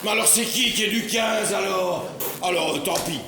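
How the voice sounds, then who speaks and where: A man's voice: sits high, moderate pace. An actor playing a condescending middle-aged politician father, ironic and mock-polite, in a stage comedy. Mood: teasing. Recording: very echoey stage recording.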